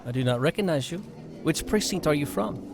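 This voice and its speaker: An actor in an audio drama playing a tough, shrewd police detective who speaks in an assertive, challenging manner. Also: male voice, fairly high pitch, animated, brisk, a little mellow, soft background music, natural voice. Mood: stern, probing.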